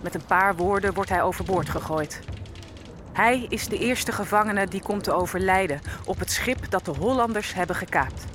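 A woman's voice: medium pitch, normal speed, noisy background, natural voice. An actress playing a grieving enslaved African woman held on a ship, telling her story solemnly and poetically in a radio play. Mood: solemn, bleak. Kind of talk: dramatic first-person radio play narration.

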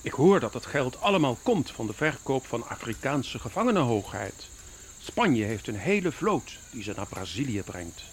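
A man's voice: mid-range voice, big pitch swings, moderate pace, slight background noise. An actor playing an earnest, deferential Dutch spy reporting formally to his prince in a radio play. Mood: grave reporting. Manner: formal, respectful.

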